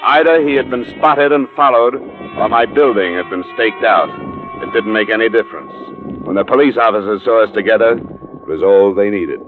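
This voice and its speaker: Guy playing a hardboiled american insurance investigator, low and dry, blunt, old radio show with tinny narrow sound. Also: normal speed, natural voice. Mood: sober, narrating. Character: tough, level-headed male insurance investigator. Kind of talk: 1940s-50s radio detective acting and first-person narration. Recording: narrow, muffled old radio recording, music.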